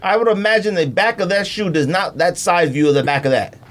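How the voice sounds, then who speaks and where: A man's voice: high voice, fairly quick. A man chatting casually as a podcast host, playfully arguing in slangy speech. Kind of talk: casual banter.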